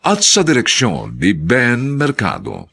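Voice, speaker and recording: A Filipino man announcing a radio drama from a script in a husky, gravelly voice, deliberate and theatrical. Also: medium pitch, huge pitch swings, fairly bright tone.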